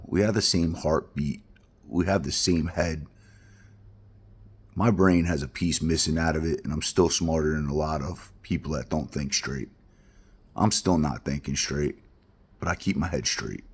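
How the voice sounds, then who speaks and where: A man's voice: extremely low pitch, slightly rough. A middle-aged man from Queens, talking bluntly and heartfelt in a rambling personal monologue. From Queens, New York. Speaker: vlogger.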